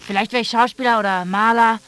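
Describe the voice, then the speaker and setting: A woman's voice: a little high, steady tone, quick pace, a bit bright, close to an everyday voice. An actress playing a defiant, touchy young woman who recounts a quarrel in short sentences in a radio drama. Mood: defiant, hurt. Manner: short literary sentences, swinging into stubborn retorts. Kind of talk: radio drama narration and dialogue. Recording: clearly noisy recording with clicks.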